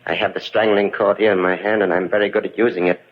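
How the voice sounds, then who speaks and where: An actor playing a deranged, mock-polite murderer in a 1940s radio mystery, gloating and cackling with menace. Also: male voice, on the low side, level tone, fast talker, a little hiss or hum, lightly acted. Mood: gloating madness. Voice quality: maniacal cackling, swooping delivery. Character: deranged, gloating murderer haunting his brother's tomb. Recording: muffled vintage 1940s radio recording.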